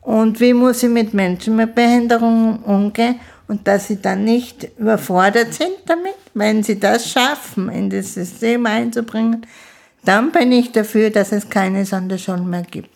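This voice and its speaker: Gravelly-voiced woman in her fifties. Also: fairly high pitch, a little mellow. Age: 50s. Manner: slow, halting speech with restarts. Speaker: interview guest. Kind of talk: casual conversation.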